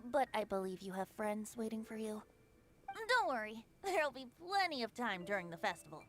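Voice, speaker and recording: Coy, lilting flirt. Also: female voice, fairly high pitch, very animated, brisk, fairly bright tone. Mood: teasing, coy. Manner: coy, playful, refined. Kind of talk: scripted game voice acting.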